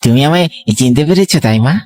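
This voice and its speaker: A man's voice: a little high, expressive, quick pace, darkish tone, no echo, close to an everyday voice. Earnest young younger brother acted by a man, close and dry. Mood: sorrowful. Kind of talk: Bengali horror audio story acting.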